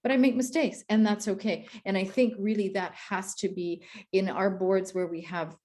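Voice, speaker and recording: A woman's voice: mid-range voice, fairly even intonation, moderate pace, a little mellow. A woman from Quebec speaks on an education panel in a mid-range voice. She talks earnestly and conversationally, backing up and restarting phrases as she goes. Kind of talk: panel discussion.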